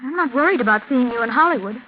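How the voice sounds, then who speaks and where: An actress plays a jittery young wife in 1940s radio drama. Her voice is high and anxious. The old recording sounds dull, with some noise. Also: lively intonation, rapid-fire, natural voice. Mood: uneasy. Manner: jittery, stammering. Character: nervous young wife, anxious stranded traveler.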